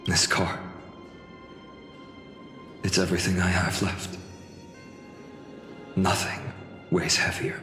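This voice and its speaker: A man's voice: low-pitched, flat delivery, slow pace, pauses often, pushed and bright, full character voice. Young game hero guard, earnest, takes his time, music behind him. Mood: solemn, grieving. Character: young male holy city guard, earnest hero haunted by loss. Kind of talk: video game voice acting. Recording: echoey reverb, music behind.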